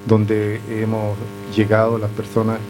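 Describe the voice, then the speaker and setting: An older Chilean man talks as a guest on a panel, his low voice barely rising or falling. He picks his words slowly, filling pauses with little hums. Clear music plays underneath. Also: monotone, poor audio. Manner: measured, hesitant with many fillers. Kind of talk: reflective conversation.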